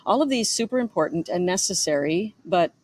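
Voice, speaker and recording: A woman's voice: fairly low pitch, animated, a little fast, a little mellow. A middle-aged woman reading her own memoir aloud as its author, in a storytelling manner with the occasional stumble.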